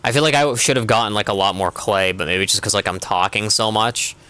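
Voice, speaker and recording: A man's voice: on the low side, a little fast, pushed and bright, a little hiss or hum. A young man streaming a game, chatting casually in a rambling way with constant filler words. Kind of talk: casual chat while gaming.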